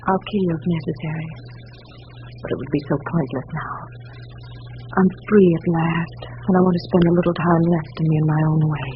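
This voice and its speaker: A woman playing a murderous, fatalistic femme fatale, calm, deliberate and commanding in vintage radio noir style. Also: sits low, close to an everyday voice. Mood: cool, menacing. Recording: muffled, noisy vintage radio recording.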